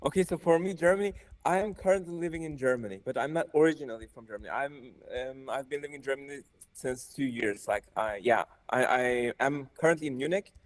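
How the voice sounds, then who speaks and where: A man's voice: mid-range voice, quick pace. Young man with a Turkish accent. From Turkey. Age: young adult. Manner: hesitant, restarts, soft trailing phrases. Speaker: guest co-developer. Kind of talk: casual chat on a coding stream. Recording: faint music behind.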